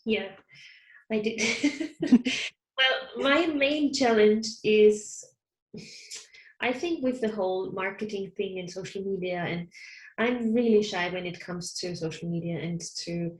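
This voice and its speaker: Soft-spoken German-native woman, roomy sound. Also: medium pitch, slow. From Austria. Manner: soft-spoken, hesitant, laughs often. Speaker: online counsellor, guest. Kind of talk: casual interview conversation. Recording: roomy echo.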